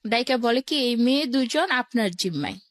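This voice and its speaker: A woman's voice: a little high, animated, normal speed, dry recording, clean studio sound. A woman with a Bangladeshi accent telling her own life story as an interview guest, in plain colloquial words, step by step.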